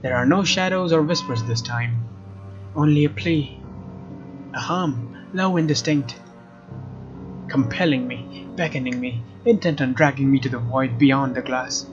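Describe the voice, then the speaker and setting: A man's voice: mid-range voice, a little slow. A young man doing a let's play, reading story text dramatically and commenting casually. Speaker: let's player. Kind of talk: dramatic read-aloud with casual gameplay commentary. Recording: slightly dull mic over game music. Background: faint game music.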